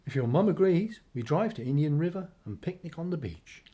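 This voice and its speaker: A man's voice: a little high, big pitch swings, fairly quick, mellow. A man playing a reassuring but secretive father in a radio play, paternal and coaxing.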